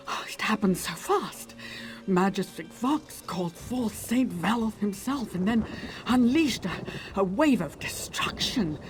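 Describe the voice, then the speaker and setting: A woman's voice: medium pitch, natural voice. Actress doing a distressed video game woman, pleading and stumbling over words, bit of music under it. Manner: urgent, faltering, pleading. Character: distressed female villager seeking help for her besieged shrine.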